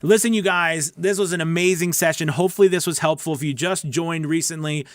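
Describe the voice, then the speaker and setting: A man's voice: on the high side, fairly even intonation, a little fast, dry recording, clean studio sound. A man hosting a live coaching stream, answering viewer questions in a chatty, informal way and often checking that listeners follow. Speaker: host of a live weekly voice-over coaching stream. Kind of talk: casual livestream Q&A, reading and answering viewer comments.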